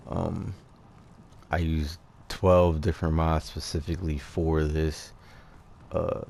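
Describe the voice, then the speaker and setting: A man with a mellow, very low voice hosts a gameplay video in a slow drawl. His delivery is casual and slangy, laid-back throughout, with faint noise behind him. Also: little pitch movement, creaky. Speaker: gaming host.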